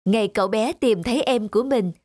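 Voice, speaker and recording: A woman's voice: fairly high pitch, brisk, darkish tone, no room sound, lightly acted. A woman voicing a formal, heartfelt television reporter in a cartoon, delivering a news report. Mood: solemn, warm. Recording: music behind the voice.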